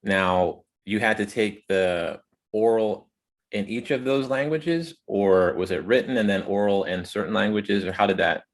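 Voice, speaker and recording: Deep-voiced male podcast host. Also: unhurried. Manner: asks long multi-part questions. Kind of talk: interview questions.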